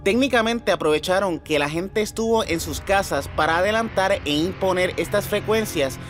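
A man's voice: high-pitched, normal speed, fairly bright tone. A man presenting scripted podcast commentary as host, putting rhetorical questions to his listeners. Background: background music.

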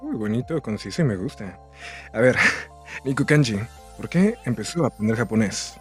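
A man's voice: medium pitch, expressive, moderate pace, darkish tone. A man in a mid-range voice guides the chat, putting questions to each guest in an upbeat, encouraging way. Faint music plays behind him. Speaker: co-host. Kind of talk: casual group chat.

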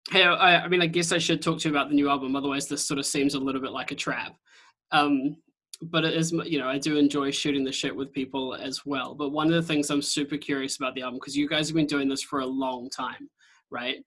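A man with a New Zealand accent hosting a podcast interview over a video call, chatty and excitable, swearing casually. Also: fairly high pitch, quick pace. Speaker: podcast host.

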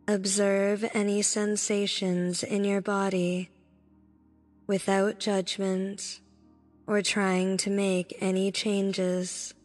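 Slow, even-toned woman guiding meditation. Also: mid-range voice. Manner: soothing, deliberate, instructing in short phrases. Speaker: meditation guide. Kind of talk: guided meditation narration. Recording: long reverb with background music. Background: music.

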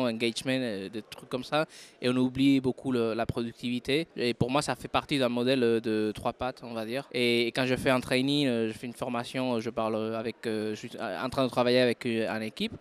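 A man's voice: medium pitch, steady tone, fairly quick, smooth. A man from Spain with a Spanish accent, a non-native speaker giving a casual interview, hesitant and full of fillers while he searches for words. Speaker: interview guest, recruiter.

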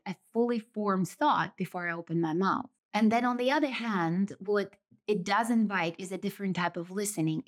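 A woman whose mother tongue is Russian, speaking thoughtfully and explaining ideas in a reflective solo podcast monologue, often rephrasing as she goes. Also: medium pitch. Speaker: podcast host.

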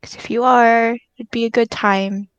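A woman's voice: fairly high pitch, fairly even intonation, moderate pace, lo-fi sound. A woman in her early twenties in casual chat, giggly and telling stories with quoted asides.

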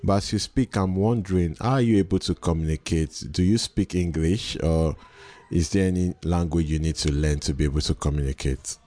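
A man from Nigeria hosting an interview, asking long, curious open questions in a relaxed conversational way. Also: sits low, brisk. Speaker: host.

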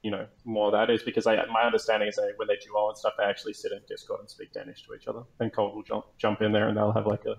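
A man's voice: lowish voice, completely flat delivery, racing, vocal fry, few pauses, highs cut off. A man talking casually as a guest on an esports panel show. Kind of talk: casual chat.

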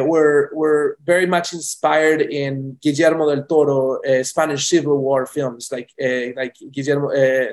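Rambling Colombian-born man, higher voice. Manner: rambling with many restarts and fillers. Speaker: film director guest. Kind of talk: casual interview answers.

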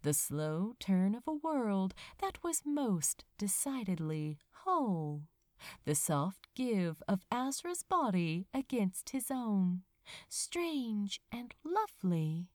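Slow, very expressive woman reading a story. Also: mid-range voice, no echo, studio quality. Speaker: audiobook-style fan fiction reader. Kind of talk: expressive scripted story narration.

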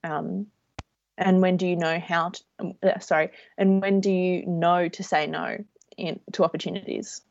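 A woman's voice: on the low side, fairly even intonation, moderate pace, creaky, darkish tone, no room sound. Woman, dry and slightly dull sound. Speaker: radio show co-host. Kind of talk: conversational interviewing.

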